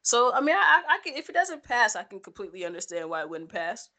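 A woman's voice: medium pitch, sing-song, quick pace, pushed and bright. A woman co-hosting a sports podcast in casual, playful, teasing chat.

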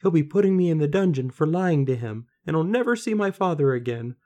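Man putting on a high-pitched, plaintive young peasant girl. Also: big pitch swings, brisk, dark tone, close to an everyday voice. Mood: despairing. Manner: plaintive, pleading. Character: young, gentle, anxious poor weaver's daughter.

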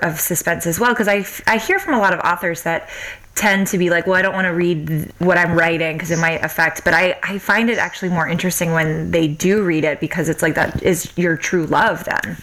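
A woman's voice: fairly low pitch, steady tone, a little fast, fairly bright tone, low-quality recording. A woman hosting a book podcast, chatting in a friendly, upbeat way with a guest and signing off the show. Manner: friendly, upbeat, laughs often. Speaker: podcast host. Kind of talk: casual interview chat.

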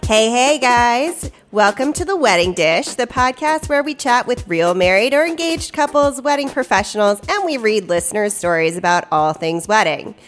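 A woman's voice: fairly high pitch, animated, moderate pace, few pauses, faint music behind. A woman hosting a casual podcast chat, upbeat and chatty, a little stuffy with a cold. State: stuffy, slight cough. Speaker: podcast host and producer. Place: hotel studio.

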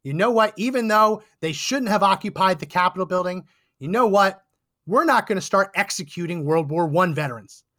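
Man, hoarse, losing his voice, high voice, indignant, emphatic, political podcast host. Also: animated, moderate pace, a bit bright. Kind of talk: political commentary monologue. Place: Texas.

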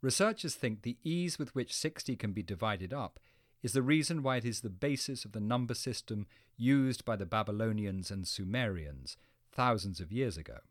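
A man with a fairly low voice narrates a scripted educational programme. His delivery is explanatory and clearly phrased, with very lively intonation that rises and falls often. The sound is dry and close, free of room echo. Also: normal speed.

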